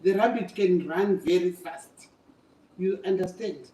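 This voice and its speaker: Older lady doing story time for kids, slow n mellow, teacherly, echoey room far from mic. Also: on the low side. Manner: emphatic, repetitive, teacherly. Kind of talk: animated oral storytelling to children. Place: echoey room, away from the microphone. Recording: echoey room, distant microphone.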